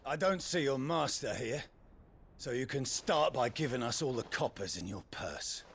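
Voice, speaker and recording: An actor playing a menacing, mocking soldier and shakedown thug in a fantasy video game. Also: male voice, mid-range voice, expressive, normal speed, gravelly, fairly bright tone, slight background noise. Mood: mocking menace. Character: sneering, thuggish male soldier extorting travellers.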